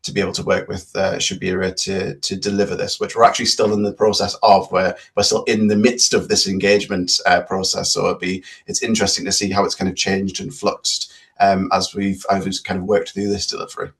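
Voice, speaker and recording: A man with a very deep voice speaking on a webinar panel. His pitch stays almost completely flat, and he talks haltingly, often repeating words as he restarts.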